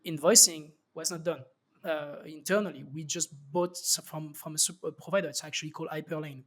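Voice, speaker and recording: A man with a French accent and a slightly raised pitch speaks as a podcast guest. He talks loosely, full of fillers and restarts as he explains his thinking. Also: steady tone, fairly quick, fairly bright tone. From France. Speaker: startup founder guest. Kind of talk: casual interview talk.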